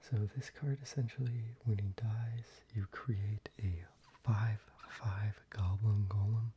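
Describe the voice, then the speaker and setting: An ASMR creator whispering softly and closely, with frequent ums and restarts while showing their collection. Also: medium pitch, steady tone, slow pace, highs cut off, noisy background.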